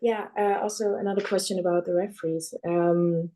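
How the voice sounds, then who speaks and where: A woman on a video call asking a halting, hesitant question. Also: lowish voice, fairly even intonation, warm tone, a little hiss or hum, low-quality recording. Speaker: participant.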